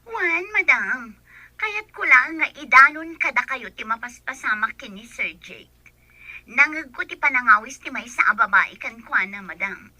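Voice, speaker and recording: An actress playing a sneaky, deferential office employee in a radio drama, with an insinuating way of talking. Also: female voice, high-pitched, lively intonation, unhurried, sharp tone, no room sound, full character voice. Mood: secretive, deferential. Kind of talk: Ilocano radio drama acting.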